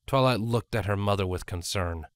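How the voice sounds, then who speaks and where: A man's voice: on the low side, normal speed, dry recording, studio quality. A man reading scripted story narration as an audiobook narrator.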